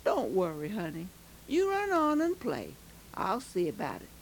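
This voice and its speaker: A woman playing calm kind sea captain, soft and low, slow delivery, faint hiss. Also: exaggerated voice, rough recording. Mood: reassuring. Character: kindly ship captain, calm older man.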